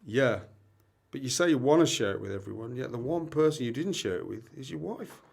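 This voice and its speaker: A man's voice: medium pitch, lively intonation, brisk, vocal fry, a little mellow, slightly rough. A man acting in an amateur radio play as a blunt, exasperated but supportive best mate.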